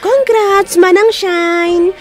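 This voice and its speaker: An excited younger sis in a radio drama, super high pitched, loud n gushy. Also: female voice, extremely slow, faint music behind, heavily acted. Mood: excited, proud. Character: excited, adoring younger sister. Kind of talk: Filipino radio drama acting.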